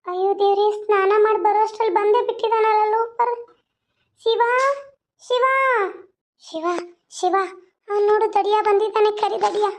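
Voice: very high voice, flat delivery, a little mellow. A performer voicing a frightened young village woman who pleads and protests. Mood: alarmed. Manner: quick, pleading, distressed. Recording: dull lo-fi sound.

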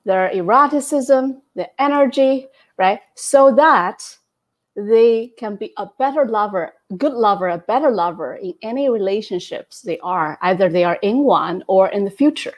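Soft-spoken woman with a Chinese accent. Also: mid-range voice, expressive, highs cut off. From China. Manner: warm, measured, frequent soft laughs. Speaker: guest, intimacy coach. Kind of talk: video-call interview. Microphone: wired earbud headset on a video call.

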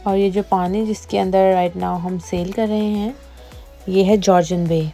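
A woman vlogger calmly narrating a boat tour, explaining the sights as she goes. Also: mid-range voice, unhurried, soft background music. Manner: calm explanatory voice-over, mixing in English phrases. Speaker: travel vlogger. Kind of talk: travel vlog narration. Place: outdoors on a tour boat on a lake.

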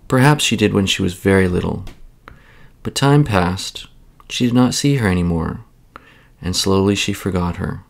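Male storyteller, gravelly creak, measured, expressive, children's bedtime narration. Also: sits low. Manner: measured, expressive read-aloud delivery.

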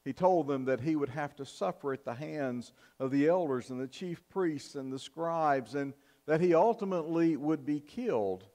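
An older man preaching a sermon in a church, in a measured, earnest style with emphatic pauses. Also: a little high, lively intonation, warm tone. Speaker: pastor. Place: church sanctuary, at the pulpit. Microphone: fixed camera from the back of the church.

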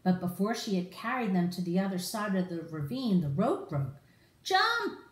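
Animated woman reading to children, roomy sound. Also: fairly low pitch, brisk. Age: middle-aged. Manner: animated, addressing children directly. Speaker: storyteller for children. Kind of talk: expressive read-aloud of a picture book to children. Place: room in a home, indoors.

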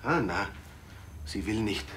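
A man's voice: on the low side, lively intonation, slow pace, a bit bright, slight background noise. An actor playing a cocky, casual man, talking in offhand, clipped phrases in a television crime comedy.

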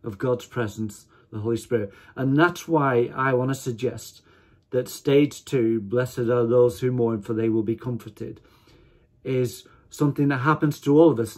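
A husky-voiced man in his fifties preaches informally, as if to a small online congregation. His pitch sits in the middle for a man, and he sets out his points emphatically, in short, deliberate phrases. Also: a little mellow. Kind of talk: informal sermon to camera. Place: small attic room at home, indoors.

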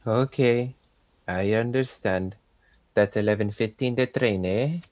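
A man's voice: fairly low pitch, unhurried, a little mellow, dry recording, natural voice. A man playing a cold-blooded hired killer in a radio thriller, terse and casually businesslike. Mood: businesslike, callous. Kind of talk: old-time radio thriller acting.

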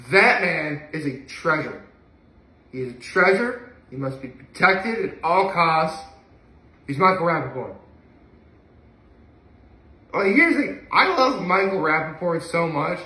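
A young man with a bright, fairly high voice chats casually to a camera a few feet away. He gushes and repeats his phrases for emphasis. The living room around him adds a clear echo. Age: young adult. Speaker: video blogger. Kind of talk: casual solo chat to camera. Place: living room at home, indoors. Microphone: camera or phone a few feet away.

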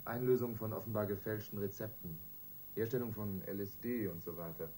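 An actor playing a matter-of-fact police investigator in a radio crime drama, reporting in a measured, factual way. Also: male voice, fairly low pitch, fairly even intonation, mellow, a little hiss or hum, lightly acted.

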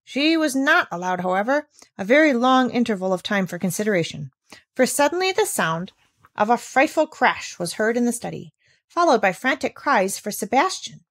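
A woman narrating a children's storybook aloud as an audiobook, with expressive delivery. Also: on the high side, moderate pace, no room sound.

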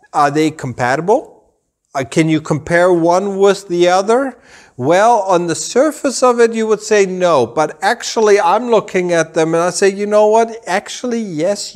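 A man in his forties, raised in Germany, a rug dealer explaining things to camera in a showroom, slow and deliberate with gestures. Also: high-pitched. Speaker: rug dealer and channel host. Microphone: camera at a few meters, full body framing.

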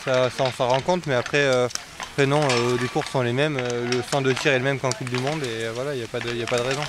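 A young man athlete talking in a post-race interview outdoors at a snowy venue, measured and pausing often to find his words. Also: medium pitch, steady tone. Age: young adult. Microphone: camera mic close to the face. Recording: outdoor noise in the background.

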